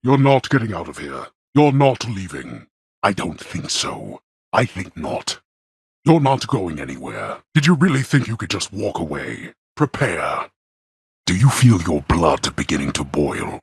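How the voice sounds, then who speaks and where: A man voicing a stern, scornful god of the dead and imperious father in a video game, with a booming, gravelly voice and formal, archaic phrasing. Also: big pitch swings, a little slow, dry recording, clean studio sound, exaggerated voice. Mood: menacing, commanding. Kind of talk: video game character voice acting.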